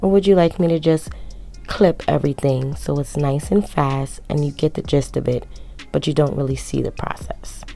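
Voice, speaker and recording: Low, raspy young woman narrating sewing. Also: steady tone, normal speed, mellow, faint music behind. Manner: rambling, self-mocking. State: hoarse from projecting through a mask. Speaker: sewing tutorial creator. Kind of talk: casual voiceover tutorial.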